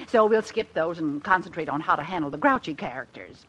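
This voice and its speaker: An actress playing a bossy, sassy American waitress in a 1950s radio comedy, brassy and plain-spoken. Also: female voice, low voice, expressive, a little fast, bright, forceful tone, slight background noise, low-quality recording. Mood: sassy, instructing. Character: bossy, sassy malt-shop waitress training new girls.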